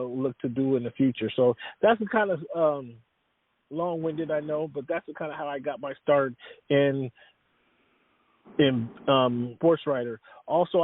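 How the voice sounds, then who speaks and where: A man's voice: medium pitch, no room sound. A man being interviewed about his work as a sports writer, talking in a rambling way with many fillers and restarts. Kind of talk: casual interview talk. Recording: dry, tinny phone-like line.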